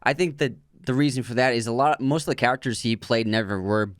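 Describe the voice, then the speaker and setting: A young man co-hosting a podcast, easygoing and quick with reactions and jokes. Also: mid-range voice, dry recording, studio quality. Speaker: podcast co-host. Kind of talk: casual film chat.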